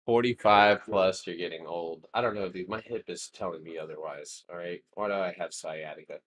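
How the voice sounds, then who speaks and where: A man's voice: low-pitched, normal speed, a bit bright, poor audio. A man in his late twenties hosting a live stock trading stream, chatting casually and rambling with jokes that trail off into mumbles. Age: 20s.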